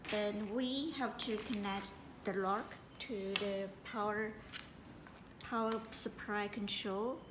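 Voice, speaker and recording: A woman giving a hands-on installation tutorial as a product presenter, speaking in halting, piecemeal phrases with repetition. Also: medium pitch, steady tone. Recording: echoey room with clear background noise.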